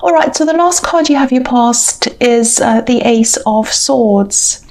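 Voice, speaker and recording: A woman giving a tarot reading to the viewer, talking in a soft, reassuring way with many hesitant pauses. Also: fairly high pitch, animated. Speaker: tarot reader. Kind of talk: intuitive tarot reading to camera.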